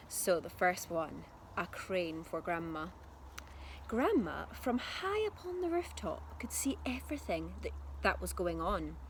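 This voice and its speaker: A woman reading a storybook aloud expressively like a primary teacher, speaking directly to young listeners. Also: mid-range voice. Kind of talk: expressive storybook narration for children. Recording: clear background noise.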